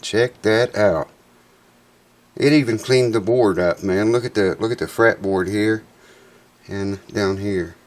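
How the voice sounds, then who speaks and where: A man's voice: on the low side, slow pace, pauses often. A man hosting a guitar repair vlog in his workshop, talking in a folksy, enthusiastic way and sounding congested with a stuffed-up nose. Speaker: guitar repair channel host.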